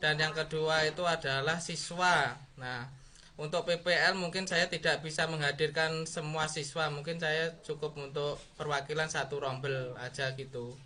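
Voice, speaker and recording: A fairly high-voiced man speaks over a video call. He answers his examiners politely and a little shyly, sprinkling his replies with respectful address. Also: steady tone, moderate pace, bright, forceful tone. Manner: polite, shy, answers with frequent "Bu" and "Pak". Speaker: teacher candidate being examined. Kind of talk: online exam interview. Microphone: computer mic on video call.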